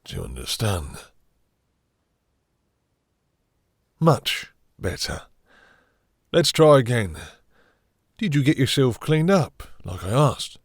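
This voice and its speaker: Male actor as possessive vampire, deep voice, husky and breathy, silky menace, condescending purr, intimate roleplay, very close dry sound. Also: no room sound, full character voice. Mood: mocking, commanding. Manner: slow, condescending, purring endearments, commanding. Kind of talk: intimate dramatic roleplay audio.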